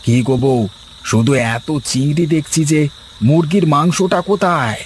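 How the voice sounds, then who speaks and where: Lazy village husband for a cartoon man acting, mid pitch, bossy and coaxing, crickets behind. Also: animated, moderate pace, natural voice. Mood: complaining. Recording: noisy track with chirping crickets.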